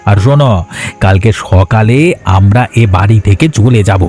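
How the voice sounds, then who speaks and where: Cold scheming male captor for a cartoon, voiced by a man, low and matter-of-fact, music under. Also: normal speed, dark tone, close to an everyday voice.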